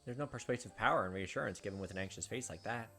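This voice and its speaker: A man's voice: lowish voice, very fast, sharp tone, faint music behind. A man hosting a let's play, chatting casually and reading the game's story text aloud.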